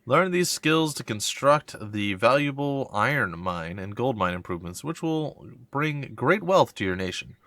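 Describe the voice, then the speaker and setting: A man's voice: medium pitch, very animated, measured pace, hardly any pauses, fairly bright tone, no room sound. A man with a stuffy, congested voice, a let's-play host who reads tutorial text aloud and thinks aloud as he plays. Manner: thinking aloud, reads on-screen text then reacts with mild puzzlement. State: congested from a cold. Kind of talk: casual gameplay commentary reading tutorial text aloud.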